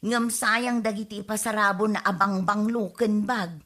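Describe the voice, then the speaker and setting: Woman playing a bright, teasing village mother. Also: medium pitch, steady tone, measured pace. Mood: nagging. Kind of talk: Ilocano radio comedy drama acting.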